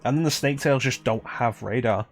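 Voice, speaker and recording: A man's voice: mid-range voice, moderate pace. Sweary man streaming with music behind. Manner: sweary, joking. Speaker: game streamer. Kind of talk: casual live game commentary. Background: video game music.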